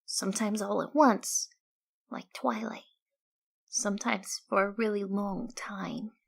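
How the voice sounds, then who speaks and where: A woman voicing an odd female pony in a storybook, giving halting, cryptic warnings in simple, childlike phrasing. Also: medium pitch, flat delivery, creaky. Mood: ominous, earnest.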